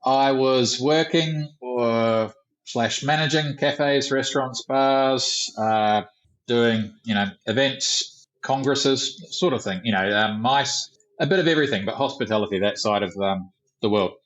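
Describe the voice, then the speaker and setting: Relaxed New Zealand man, lo-fi sound. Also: medium pitch, a little slow. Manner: relaxed, rambling, with fillers. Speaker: guest, tour company founder. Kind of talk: casual interview.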